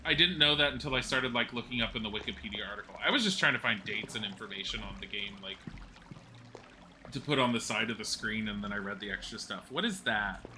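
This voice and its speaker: Bright-voiced streamer in his forties. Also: on the low side, fast talker, a little hiss or hum, rough recording. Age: 40s. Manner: rambling, chatty, laughs at own mishaps. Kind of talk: casual gameplay commentary while chatting with viewers.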